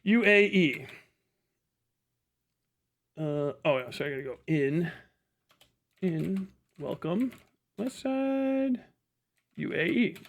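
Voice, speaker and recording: A man's voice: sits high, expressive, slow pace, creaky, pauses often. A man doing casual livestream commentary, swearing and muttering to himself at a close mic. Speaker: livestream host reacting to a video.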